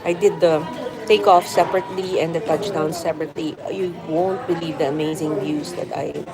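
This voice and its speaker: Middle-aged woman from the Philippines, casual live-stream host, slightly low voice, talking through loud café chatter. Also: fairly even intonation, fairly quick, low-quality recording. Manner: chatty, rambling, reads viewer comments aloud. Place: busy coffee shop. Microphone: phone on a mobile hotspot. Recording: crowded café chatter behind. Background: café crowd chatter.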